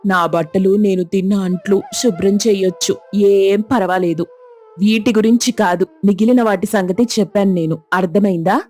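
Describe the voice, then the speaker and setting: A woman's voice: mid-range voice, steady tone, normal speed, warm tone, faint music behind, natural voice. A woman voicing an exasperated middle-aged mother-in-law who scolds and complains in a dramatized cartoon story.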